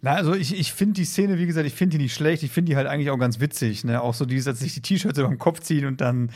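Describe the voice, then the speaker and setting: A man's voice: a little high, fast, a little mellow. A man co-hosting a casual discussion, reflective and critical, often checking for agreement. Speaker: podcast co-host. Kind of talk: casual discussion of an audio drama.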